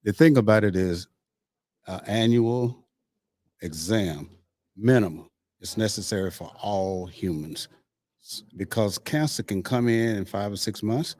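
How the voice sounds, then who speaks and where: Lower-pitched man giving measured health advice. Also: darkish tone, no room sound. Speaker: doctor, interview guest.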